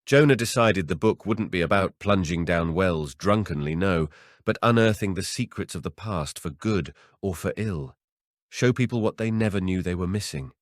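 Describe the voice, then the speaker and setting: Man, low deep voice, horror audiobook narration, scripted reading, dry studio sound. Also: animated, moderate pace, no echo.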